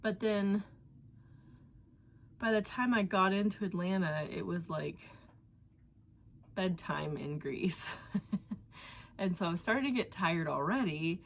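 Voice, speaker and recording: A woman's voice: fairly low pitch, expressive, takes it slowly, vocal fry, pauses often, a little hiss or hum. A young woman hosting a knitting podcast from her home, chatting casually in a rambling way with fillers and a somewhat congested voice. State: congested from allergies. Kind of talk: casual chat.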